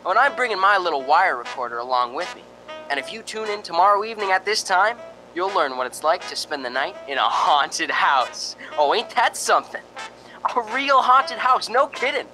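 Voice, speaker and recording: Radio drama disc jockey played by a woman: hammy prankster patter, bright, animated delivery. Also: lowish voice, moderate pace, soft background music, poor audio. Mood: excited, teasing. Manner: hammy, teasing showman patter. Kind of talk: old-time radio drama acting.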